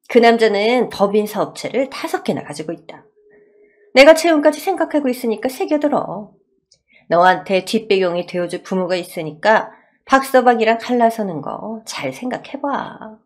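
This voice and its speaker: A woman's voice: mid-range voice, very animated, normal speed. A woman voicing a haughty, domineering middle-aged mother-in-law who scolds in a Korean story drama. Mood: haughty, insistent.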